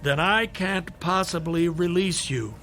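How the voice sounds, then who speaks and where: An actor playing a brisk, wry, persuasive hospital doctor in a radio drama, dry and insistent. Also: male voice, high voice, sharp tone, full character voice.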